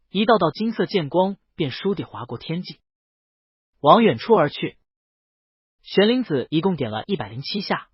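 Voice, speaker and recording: A man narrating a fantasy novel in an expressive, storytelling manner. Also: fairly high pitch, breathy edge, no echo, dull audio. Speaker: audiobook narrator.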